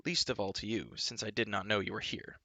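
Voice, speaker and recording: A man voicing a proud, bitter young prince who speaks low and hoarse with cold contempt. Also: steady tone, a little fast, bright, forceful tone, dry recording.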